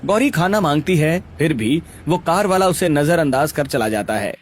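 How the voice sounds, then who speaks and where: A man's voice: a little high, expressive, normal speed. A man reading scripted narration for a cartoon story.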